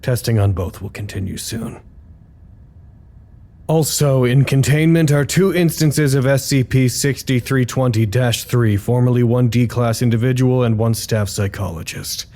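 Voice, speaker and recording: An actor playing a male research site doctor who gives formal, measured official reports in an audio drama. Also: medium pitch, dark tone, natural voice. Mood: formal, matter-of-fact. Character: weary male doctor, foundation communications head, dutiful and halting.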